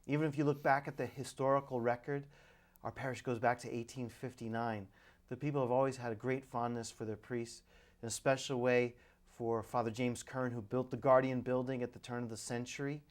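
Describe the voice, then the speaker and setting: A middle-aged man, a priest, hosting a public access television interview, speaking in measured introductions with occasional fillers. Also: medium pitch, little pitch movement.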